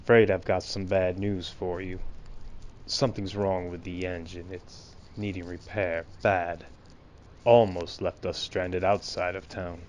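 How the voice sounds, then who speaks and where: An actor playing a small-town bus driver in a radio play, folksy and overly polite with an obliging manner that rings false. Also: male voice, deep voice, flat delivery, a little slow, slight background noise. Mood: folksy, apologetic.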